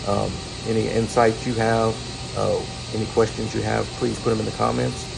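An American man in middle age narrates a casual welding how-to over music. His voice is low and fairly flat in melody. He talks in a laid-back, folksy way, like a tradesman showing his work. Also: normal speed, creaky, poor audio. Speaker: welder hosting a tutorial.

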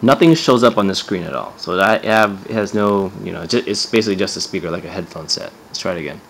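Low gravelly-voiced man, offhand and sardonic. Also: expressive, quick pace, fairly bright tone, slight background noise, rough recording. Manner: sardonic, exasperated, offhand, swears. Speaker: gadget reviewer. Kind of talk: casual hands-on product demo.

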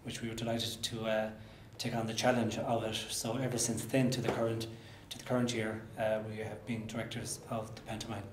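An Irish man in his forties talks hesitantly in an interview. His mid-pitched voice stays quite flat, and the room echoes around him. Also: brisk, hardly any pauses. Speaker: pantomime director. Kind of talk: seated interview. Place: indoor room. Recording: echoey room, faint background noise.

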